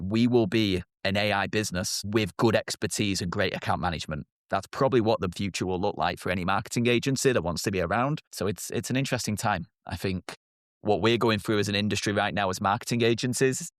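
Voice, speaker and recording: A man's voice: lowish voice, no room sound, clean studio sound. A man with a British accent, a marketing agency co-founder, answering interview questions directly and confidently.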